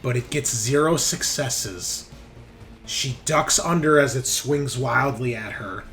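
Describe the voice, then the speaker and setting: A man's voice: mid-range voice, takes it slowly, fairly bright tone. Man running a tabletop game over music. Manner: explanatory, narrating action and rules. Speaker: game master. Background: background music.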